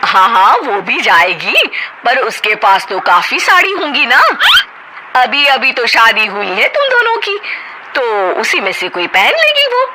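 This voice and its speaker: A woman's voice: a little high, sing-song, measured pace, noisy background, pitched above the performer's own voice. A woman voicing a stern older mother-in-law who scolds and brushes others off. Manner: scolding, dismissive.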